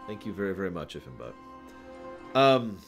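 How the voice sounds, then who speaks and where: Deep-voiced man rambling on a livestream. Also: sing-song, moderate pace, soft background music. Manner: digressive, talks to live chat, emphatic stresses. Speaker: livestream host. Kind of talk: casual rambling stream chat, opinion commentary.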